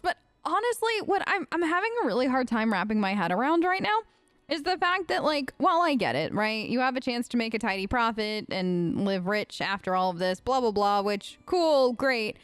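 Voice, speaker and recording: A woman's voice: on the high side, clear, resonant tone, dry recording. A woman hosting a role-play game let's play, talking casually in a sassy, rambling way. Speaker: gaming host, role-playing her character.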